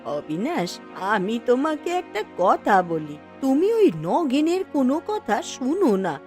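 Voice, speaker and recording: A woman's voice: high voice, very animated, slow, a little mellow, faint music behind, full character voice. A woman playing a kindly, frank elderly village mother in a cartoon folk tale, speaking in a familiar, admonishing way. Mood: frank, concerned.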